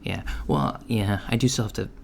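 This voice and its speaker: A man playing an easygoing, reassuring alien job interviewer in a soft-spoken ASMR roleplay, talking casually and haltingly and trailing off. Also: lowish voice. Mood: relaxed, hesitant. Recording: lo-fi with clear background noise. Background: computer keyboard typing.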